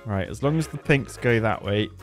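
A man's voice: on the low side, animated, moderate pace, soft background music. A British man giving casual, jokey commentary as he plays a game, chatting and thinking aloud as host of the video. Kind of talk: casual live gameplay commentary.

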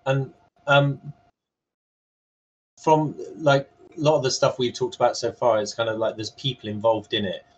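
A man's voice: mid-range voice, level tone, moderate pace, long pauses, husky. A man moderating a panel conversation over a video call, asking questions in a hesitant, rambling way. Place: home room on a video call. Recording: dull compressed video-call audio.

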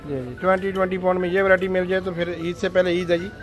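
A man's voice: high voice, steady tone, fast, soft background music. A man who runs a shopping vlog. He tours the stalls of a busy indoor bazaar, talks to the sellers and keeps saying mashallah. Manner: upbeat, says mashallah often. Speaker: vlogger touring shops. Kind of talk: walkaround shopping vlog chat.

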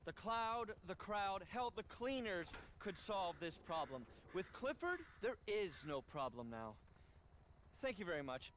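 A man's voice: sits high, very animated, measured pace, a bit bright, slight background noise, exaggerated voice. An actor playing a pompous, manic tech billionaire in his thirties in a game cutscene, indignant and theatrical. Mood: smug, scornful. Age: 30s. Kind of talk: animated game cutscene acting.

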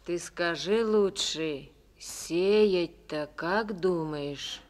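An actress playing a proud, sharp-tongued village woman in a Soviet radio play, speaking in a folksy, teasing manner. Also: on the low side, extremely slow. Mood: wry. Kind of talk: 1950s radio play acting.

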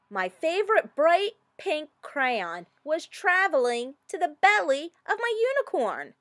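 A woman reading a storybook as an earnest, excitable little girl, with dramatic expression. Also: extremely high pitch, no echo, clean studio sound, pitched above the performer's own voice, full character voice. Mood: indignant. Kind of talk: scripted storybook narration.

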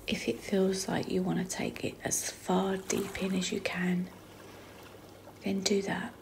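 A woman leading a guided visualisation meditation in a soft, breathy, half-whispered voice with slow, unhurried phrasing. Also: mid-range voice, monotone. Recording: clearly noisy, trickling water ambience.

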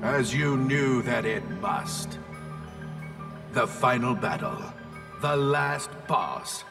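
Booming, echoing, gravelly demon game master. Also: male voice, lively intonation. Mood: menacing. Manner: pompous, theatrical, drawn-out delivery. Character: towering horned demonic game master, grandiose, menacing villain. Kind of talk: video game cutscene acting. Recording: long reverb, distant, loud music behind.

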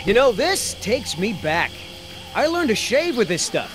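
A man's voice: sits high, very animated, a little slow, background noise. An actor playing a cocky, menacing male mercenary in a video game, mocking and bossy. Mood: taunting. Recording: music under the voice.

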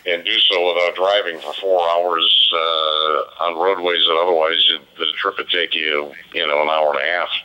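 A man chatting casually and haltingly as an amateur operator over a digital two-way radio, heard from a handheld's speaker. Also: deep voice, little pitch movement, bright, forceful tone, clear, resonant tone, muffled recording. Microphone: voice played through portable radio speaker.

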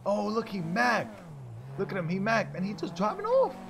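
Animated young man with a gravelly edge. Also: sits high, moderate pace, over loud music. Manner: slangy, profane, repeats phrases. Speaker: online roleplay gamer. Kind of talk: casual in-character street banter during gameplay.

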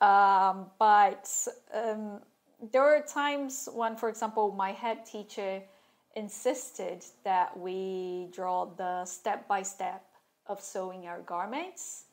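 Slow-talking wry woman vlogger. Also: medium pitch, steady tone. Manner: candid and wry, with sarcastic asides. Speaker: vlogger sharing her fashion school experience. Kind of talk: casual talking-head vlog. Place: small plain room indoors, seated at a desk.

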